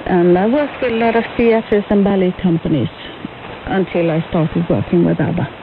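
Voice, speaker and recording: A woman's voice: fairly low pitch, normal speed. Noisy shortwave radio, woman reminiscing. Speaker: interview guest, museum curator. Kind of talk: conversational reminiscing. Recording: noisy, narrow-band shortwave radio broadcast.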